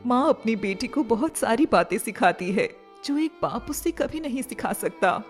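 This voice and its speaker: Woman as a high-voiced, persuasive concerned mother. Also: animated, normal speed, soft background music, natural voice. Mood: concerned urging. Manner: persuasive, advising. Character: concerned mother urging her grown son to remarry. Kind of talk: animated moral story dialogue.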